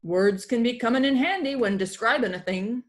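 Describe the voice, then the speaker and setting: A woman's voice: on the high side, moderate pace, lightly acted. Woman putting on a hearty old walrus sea captain. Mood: hearty, instructive. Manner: archaic pirate phrasing.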